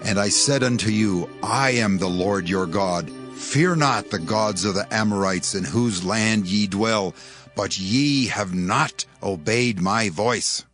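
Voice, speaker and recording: An actor playing a stern prophet, declaiming God's rebuke in a dramatized scripture reading. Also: male voice, on the low side, slow. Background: prominent music.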